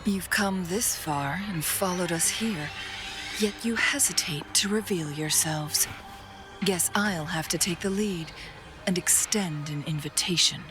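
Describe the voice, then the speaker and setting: A woman's voice: lowish voice, a little slow, over music, close to an everyday voice. A woman acting a confident, elegant warrior strategist in a video game, composed and commanding in a dramatic style. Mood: cool confidence.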